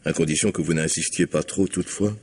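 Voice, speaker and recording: A man playing a self-assured doctor, polished, condescending and quietly threatening, in a vintage French radio mystery. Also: a bass voice, fairly even intonation, fast, slight background noise. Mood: sardonic, insinuating. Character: sardonic, insinuating, self-assured male doctor.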